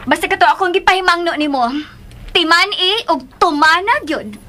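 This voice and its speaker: A woman's voice: very high-pitched, moderate pace, pushed and bright, a little hiss or hum. An actress with a Cebuano accent playing a haughty, hot-tempered wife who bosses and scolds her household in a radio drama. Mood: threatening. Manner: imperious, scolding, snapping.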